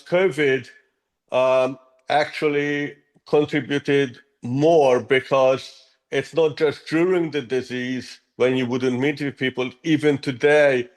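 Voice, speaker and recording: Hungarian-born man, mid-pitched, measured interview guest who often restarts his sentences. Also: poor audio. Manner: earnest, measured, with frequent ums and restarts. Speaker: app co-founder, guest. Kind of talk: interview answers.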